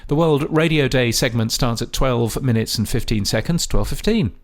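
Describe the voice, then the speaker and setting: A man hosting a podcast, reading scripted commentary in a measured, dry way with a gravelly voice. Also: mid-range voice. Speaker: podcast host. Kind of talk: scripted radio-industry commentary.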